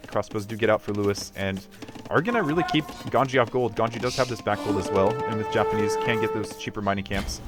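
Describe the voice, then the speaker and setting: A man giving casual live commentary on a video game match, analytical and tentative as he thinks aloud. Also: on the low side.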